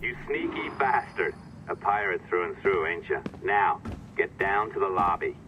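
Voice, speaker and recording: An actor playing a scheming, taunting saboteur, sly and bossy, giving orders in a video game. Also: male voice, low voice, moderate pace, natural voice. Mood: sneering, bossy. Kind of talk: video game cutscene acting. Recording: dull radio-like sound with clear noise.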